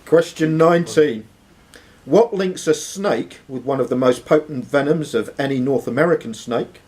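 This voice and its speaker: A man's voice: a little high, normal speed, a little mellow. A man reading quiz questions aloud as host of a live quiz. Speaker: quizmaster.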